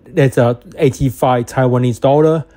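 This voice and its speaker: A man's voice: medium pitch, steady tone, moderate pace, dark tone. A man casually reviewing food in a vlog, with many fillers and hesitations and eager praise for each dish. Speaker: food vlogger. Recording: street noise behind.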